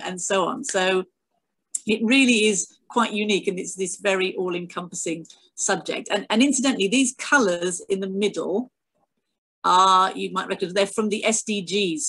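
A woman's voice: mid-range voice, vocal fry, a bit bright. A middle-aged British woman giving a chatty online talk on a video call, full of fillers and restarts. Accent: British English. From Britain. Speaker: guest speaker, astronomy educator. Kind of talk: online presentation with slides.

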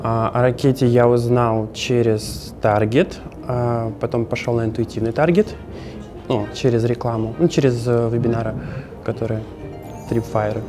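A man's voice: medium pitch, fairly even intonation, normal speed, over music. A man from Russia, a tattoo and permanent makeup artist, giving a hesitant interview answer with many fillers.

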